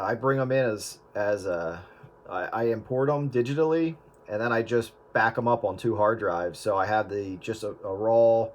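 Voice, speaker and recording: A man with a mid-pitched voice talks through practical advice in an easygoing, explanatory way. He hosts a casual radio chat and often pauses with fillers. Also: muffled recording. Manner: relaxed, explanatory, frequent fillers. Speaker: radio show host. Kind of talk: casual chat about converting old media.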